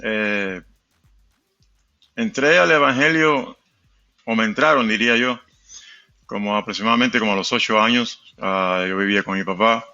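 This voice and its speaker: A man's voice: lowish voice, moderate pace, long pauses, sharp tone, lo-fi sound, faint music behind. A Cuban man, a musician, telling his life story in a casual, hesitant way with frequent fillers. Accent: Cuban Spanish. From Cuba. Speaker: guest, musician.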